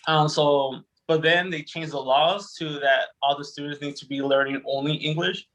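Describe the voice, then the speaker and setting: Young guy, native spanish speaker, kinda high pitched, rambles with lots of ums and restarts, on a video call. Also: steady tone, normal speed, fairly bright tone, poor audio. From Arizona, United States. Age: 20s. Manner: rambling, full of fillers and self-corrections. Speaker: guest speaker. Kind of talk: casual conversational answers.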